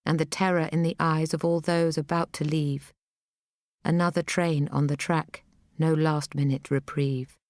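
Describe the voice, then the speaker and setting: A woman reading a poem aloud in a grave, deliberate recital style. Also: low-pitched, flat delivery, darkish tone, no room sound, clean studio sound. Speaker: poetry reader.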